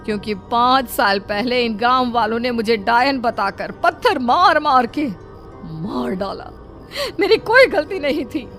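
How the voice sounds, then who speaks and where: Woman voicing a vengeful, anguished village ghost woman, raised pitch. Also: expressive, unhurried, a bit bright, faint music behind. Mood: bitter anguish. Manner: menacing, anguished. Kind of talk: dramatised horror cartoon story.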